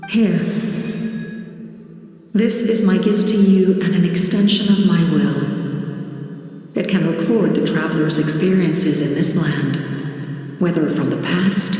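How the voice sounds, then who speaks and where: Slow, echoing, weary angelic spirit. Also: female voice, lowish voice, mellow. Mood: solemn, gracious. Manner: formal, measured, resigned. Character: solemn, weary, dying angelic female guardian spirit, ageless. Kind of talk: video game cutscene acting. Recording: very echoey, faint music behind.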